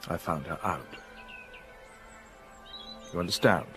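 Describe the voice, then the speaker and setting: A brooding, sardonic gentleman. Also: low voice, takes it slowly, soft background music. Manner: commanding, brusque, sardonic. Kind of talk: radio drama acting.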